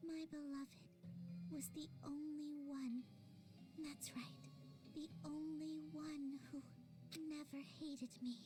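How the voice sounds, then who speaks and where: A woman playing a slow, whispery lovesick girl. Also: high-pitched, flat delivery. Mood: rapturous. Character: lovesick young woman, breathy and obsessive. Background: game music.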